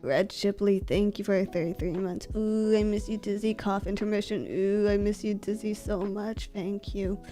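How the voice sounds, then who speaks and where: Woman streamer, sick and stuffy, husky low voice, mellow tone, close mic, faint music behind. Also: steady tone, moderate pace, hardly any pauses. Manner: soft-spoken, mic held close. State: sick, congested and coughing. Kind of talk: casual chat reading donations. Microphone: close desk mic.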